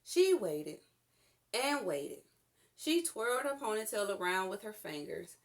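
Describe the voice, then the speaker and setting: Animated woman reading a children's story. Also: medium pitch, a little slow. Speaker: storytime reader. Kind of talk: expressive children's storybook narration.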